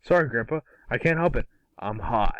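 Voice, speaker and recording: A man's voice: medium pitch, lively intonation, moderate pace, no echo, highs cut off, close to an everyday voice. A man playing a cocky, boastful boy superhero made of fire in an amateur cartoon table read. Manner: boastful, wisecracking.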